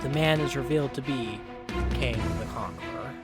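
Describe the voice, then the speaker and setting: A man's voice: mid-range voice, normal speed. A man reading scripted story narration as the narrator. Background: background music.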